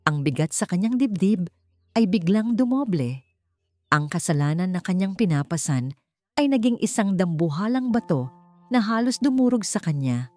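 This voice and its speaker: A woman narrator with a fairly low, very mellow voice reads a dramatic audiobook story. Her delivery is measured and suspenseful, with music playing behind her. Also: big pitch swings, dry recording.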